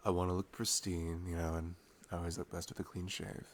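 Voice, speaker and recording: A man's voice: deep voice, level tone, fast talker, slight background noise, close to an everyday voice. A young man playing a sweet, playful boyfriend in an ASMR roleplay, chatting tenderly and casually in a rambling, teasing way. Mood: playful, relaxed. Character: sweet, playful, affectionate boyfriend cuddling his partner. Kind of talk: ASMR boyfriend roleplay, improvised intimate chat.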